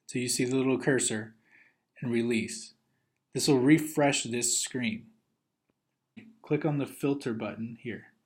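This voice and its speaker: A man giving step-by-step instructional narration as a software trainer, in a methodical way. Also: medium pitch.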